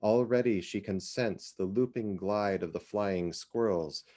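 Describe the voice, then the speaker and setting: Male literary reader, lowish voice, very unhurried pace, dramatic scripted narration. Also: dark tone.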